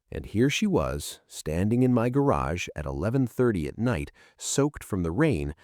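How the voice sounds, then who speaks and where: A man reading a scripted first-person story in a measured, dramatic way, in short phrases. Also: lowish voice, a little mellow, no echo, studio quality.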